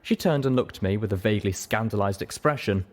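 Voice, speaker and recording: A man's voice: on the low side, fast talker. A man reading fiction aloud as the narrator of a reading show, in a dramatic scripted style.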